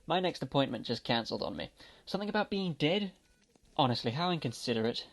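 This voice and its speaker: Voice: mid-range voice, animated, fast talker. A performer playing a breezy, callous, chatty male doctor in an amateur audio drama.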